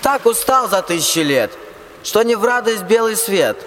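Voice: medium pitch, very animated, moderate pace, fairly bright tone. A performer playing a weary, ancient invisible spirit in a rhymed radio play, with plaintive folksy declamation. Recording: reverberant, faint ambient noise behind.

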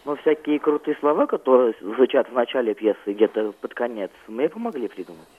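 A young male guest gives casual answers over a narrow, phone-like connection. His voice is middle-pitched and his phrasing stumbles hesitantly. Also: expressive, a little fast. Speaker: interview guest. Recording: dull band-limited remote line.

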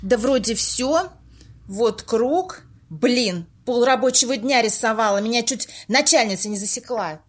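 Bossy, snippy woman running a séance in a radio play, amateur actress: very high voice, grumbling colloquial delivery. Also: measured pace. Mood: businesslike. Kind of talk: amateur audio play acting.

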